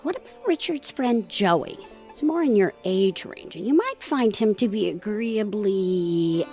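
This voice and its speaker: A woman's voice: medium pitch, sing-song, unhurried, warm tone, soft background music. A woman playing a sarcastic, grumbling middle-aged wife with a dry manner in a comedic audio play. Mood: sarcastic, exasperated.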